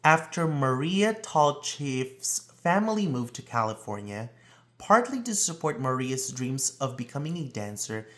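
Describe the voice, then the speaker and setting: A man reading a children's picture book aloud in an expressive, emphatic storytelling manner. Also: mid-range voice, measured pace. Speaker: read-aloud presenter.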